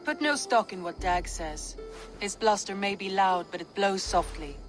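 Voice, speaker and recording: Shrewd Viking shieldmaiden for a game, voiced by a woman, mid-pitched, calm and measured, faint music behind. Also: lightly acted. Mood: cool, advising. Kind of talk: video game dramatic acting.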